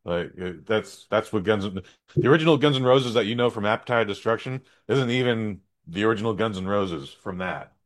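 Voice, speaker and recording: A man co-hosting a podcast in casual chat, relaxed and rambling, often restarting his sentences. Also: fairly low pitch, fairly quick. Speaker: podcast co-host.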